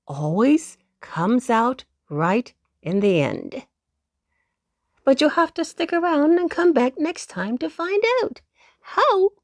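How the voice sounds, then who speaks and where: A woman's voice: high-pitched, dry recording. A woman narrating children's stories expressively, in a soothing manner that speaks straight to young listeners. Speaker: storyteller.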